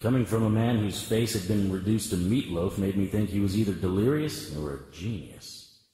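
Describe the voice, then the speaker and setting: A man's voice: fairly low pitch, animated, normal speed, dark tone, slight background noise, natural voice, low-quality recording. An actor playing a hard-boiled, wisecracking private detective, narrating a noir radio drama in a wry, tough-guy manner. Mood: wry narration.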